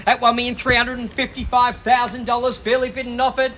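A man with an Australian accent working as an auctioneer, calling bids at a live property auction on a suburban driveway outdoors and pressing the bidders to act quickly. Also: very high voice, level tone, a bit bright, slight background noise. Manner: brisk, persuasive, pushing bidders to act.

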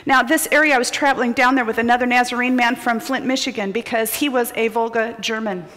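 A middle-aged woman preaching a sermon built on personal stories, shifting between loud urgency and hushed near-whispers. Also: fairly high pitch, fairly even intonation, fast talker. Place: large church sanctuary with choir loft. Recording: long hall reverb of a large sanctuary.

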